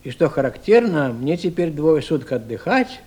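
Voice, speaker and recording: A folksy, sing-song attendant. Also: male voice, fairly high pitch, very animated, moderate pace, a little mellow, slightly rough. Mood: sympathetic. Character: folksy, sympathetic male night-shift attendant. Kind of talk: 1970s Soviet radio play acting.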